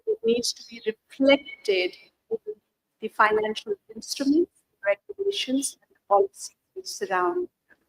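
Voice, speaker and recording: A woman argues her point on a panel. Her high voice swings widely in pitch, and her delivery is emphatic and formal. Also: expressive, a little slow, creaky, poor audio. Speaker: panel speaker. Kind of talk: panel discussion, opinion.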